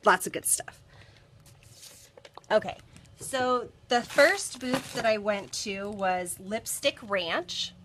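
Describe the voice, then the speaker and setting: A woman's voice: mid-range voice, expressive, slow pace, long pauses, noisy background. A woman in her thirties hosting a casual live stream from a cluttered office, a bit congested from a cold and chatty with lots of ums. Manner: chatty, many ums and restarts. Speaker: bead shop video host. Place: cluttered office workroom, indoors.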